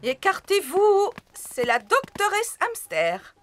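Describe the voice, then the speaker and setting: A woman playing a brisk, kindly lady hamster vet in a French preschool cartoon. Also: extremely high pitch, huge pitch swings, music in the background, full character voice. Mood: urgent, helpful.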